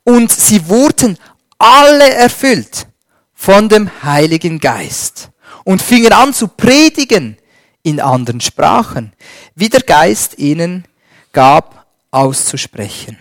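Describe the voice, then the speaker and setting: A man preaching a sermon and reading scripture, slow and emphatic, swinging between loud stresses and a dropped voice. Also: high-pitched, dry recording, clean studio sound.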